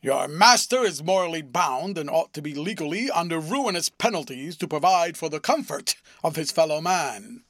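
A man voicing a loud, pompous, bullying gentleman with a booming, ferocious delivery, in an audiobook. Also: expressive, moderate pace, hardly any pauses, no room sound. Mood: hectoring. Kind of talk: audiobook character voice.

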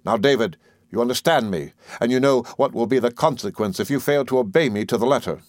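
A male narrator plays a stern Victorian stepfather from a storybook. He speaks formally and gravely, with measured, commanding weight, in a dry, close sound. Every phrase is cold and domineering. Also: mid-range voice, no echo, voice pushed up, natural voice. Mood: stern, forbidding. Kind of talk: audiobook character in a Victorian novel.

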